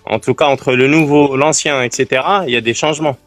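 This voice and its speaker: A man who is a guest in a calm live-stream debate, speaking earnestly and in a friendly way while he searches for words. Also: mid-range voice, a little fast, dry recording, soft background music. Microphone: remote live-stream call.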